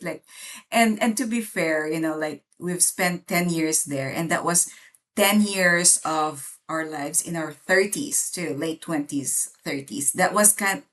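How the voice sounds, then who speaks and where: A woman's voice: on the low side, fairly bright tone. A woman in her thirties from the Philippines, co-hosting a podcast in a reflective, casual chat full of fillers. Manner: reflective, many fillers and restarts. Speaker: podcast co-host.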